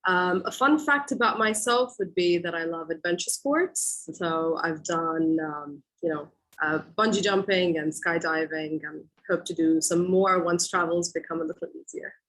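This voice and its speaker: Lowish-voiced woman from Qatar. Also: moderate pace, fairly bright tone, low-quality recording. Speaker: panelist. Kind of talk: informal self-introduction on a panel.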